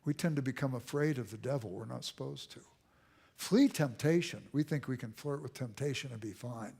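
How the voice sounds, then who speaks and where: A man's voice: medium pitch, a little fast, vocal fry, darkish tone, breathy edge. Guy speaker at a church, emphatic, repeats lines for rhythm, goes almost whispery sometimes. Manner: emphatic, repetitive cadence, dropping to a near whisper for emphasis. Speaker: guest speaker. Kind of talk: church lecture with audience questions. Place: church auditorium.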